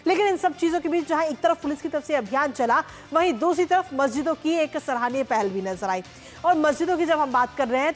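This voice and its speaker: A woman presenting television news as an anchor, emphatic and repeating her key phrases. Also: high voice. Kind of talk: live Hindi news presenting.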